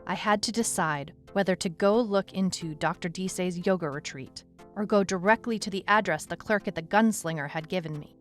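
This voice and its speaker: A woman's voice: fairly low pitch, brisk, close to an everyday voice. A woman playing a wry, anxious detective who narrates her own case in a noir-like audio drama. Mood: wry, reflective. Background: music.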